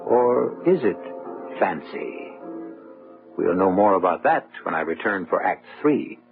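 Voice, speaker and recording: A tinny old radio broadcast with clear music behind a man narrating drama. His voice is low, ominous and theatrical as host. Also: lively intonation. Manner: ominous, theatrical, deliberate phrasing.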